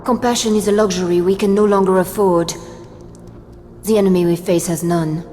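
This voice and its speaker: A woman's voice: mid-range voice, steady tone, moderate pace, natural voice. Woman playing young french resistance fighter, solemn and firm, echoing space, faint music, game scene. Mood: grave, firm. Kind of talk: video game cutscene acting.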